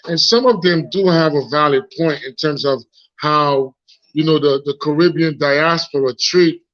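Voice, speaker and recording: Guest, man in his 40s, Haitian accent, frank and reflective, high-ish voice, heard over muffled phone audio. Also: normal speed. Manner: frank and reflective, repeats words while searching for them. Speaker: guest, founder. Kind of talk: casual live interview.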